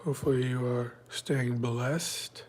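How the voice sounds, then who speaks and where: A man's voice: medium pitch, steady tone, slow, a little hiss or hum. Man with a stuffy cold, hosting. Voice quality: slightly congested. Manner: loose, filler-heavy, chats with viewers by name. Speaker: day trading livestream host. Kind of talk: casual live market prep walkthrough.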